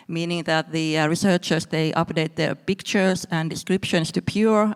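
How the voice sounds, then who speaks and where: A man's voice: a little high, clear, resonant tone. A man explaining steadily as a university panelist at a conference. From Finland. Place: conference hall. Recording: reverberant conference hall.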